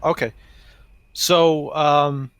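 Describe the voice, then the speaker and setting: A man's voice: on the high side, flat delivery, drawn-out words, fairly bright tone, smooth, dry recording. A man talking casually as a player in a tabletop roleplaying game.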